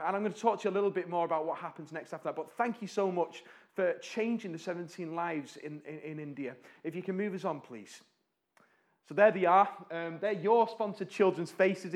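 A man speaks to a church congregation on behalf of a charity, friendly and chatty. He has a fairly high voice for a man, stumbles over words and restarts now and then, and keeps asking the room questions. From North West England. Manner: warm-hearted, chatty, asks the audience questions; fillers and restarts, pauses while slides change.

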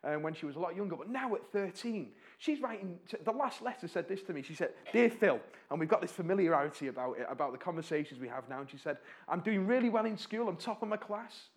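A man's voice: high voice, expressive. Chatty man addressing a congregation. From North West England. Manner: warm-hearted, chatty, asks the audience questions; fillers and restarts, pauses while slides change. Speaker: charity speaker at a church. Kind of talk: church talk to a congregation. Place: church.

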